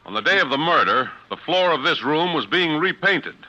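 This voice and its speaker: An actor playing a courteous, shrewd male judge with a formal, measured delivery in 1940s radio style. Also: a little high, lively intonation, pushed and bright. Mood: pointed, knowing. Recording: dull vintage 1940s radio recording.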